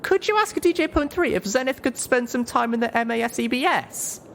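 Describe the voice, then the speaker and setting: A very high, squeaky young mare as a male reader puts her on, bashful and easily flustered in a storybook adventure. Her earnest pleas break into stammering protests, swinging widely in pitch over a noisy background. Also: lively intonation, a little fast, vocal fry, a bit bright, full character voice. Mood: earnest, pleading. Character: flustered young female pony narrator-heroine, bashful and pleading.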